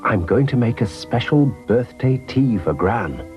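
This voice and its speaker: A man's voice: mid-range voice, animated, measured pace, over music, pitched above the performer's own voice, natural voice. A man voicing a kindly, busy mother in a children's story, confiding and a little hesitant.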